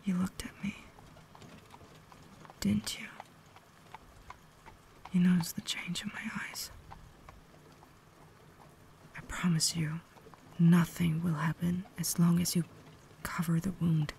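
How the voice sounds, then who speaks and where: A woman's voice: lowish voice, level tone, takes it slowly, creaky, pauses often, a little hiss or hum. A woman playing a cultured, caring vampire lady in a refined, whispered ASMR roleplay, holding herself back. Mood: tense, restrained. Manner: refined, formal, soft-spoken whisper.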